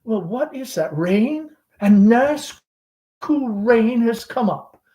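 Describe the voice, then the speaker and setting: A man's voice: very high voice, expressive, slow pace, dark tone, pitched above the performer's own voice, exaggerated voice. A man voicing a chatty middle-aged Southern belle mother, genteel and fretful, with a gushing, rambling, theatrical manner, in a stage play reading. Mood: nervous, fussy.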